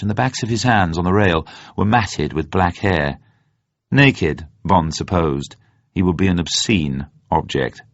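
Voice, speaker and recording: A man narrating a novel as a scripted dramatic reading, in a measured, deliberate storytelling manner. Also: low voice. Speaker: audiobook narrator. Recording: roomy, slightly dull sound.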